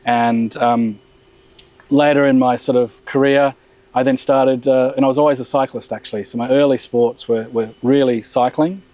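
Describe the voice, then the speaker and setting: A man's voice: medium pitch, moderate pace, no room sound, poor audio. Casual guy chatting, rambly, um and uh a lot, dry audio. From Australia. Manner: relaxed, rambling with many restarts and fillers. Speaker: brand founder and CEO. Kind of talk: casual interview.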